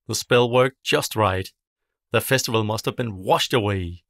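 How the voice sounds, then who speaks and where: A man's voice: lowish voice, fairly bright tone, dry recording, clean studio sound. A man voicing a grumpy, spiteful, scheming female witch in a children's storybook, with a theatrical, menacing manner. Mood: gloating. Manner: theatrical, menacing, drawn-out incantations.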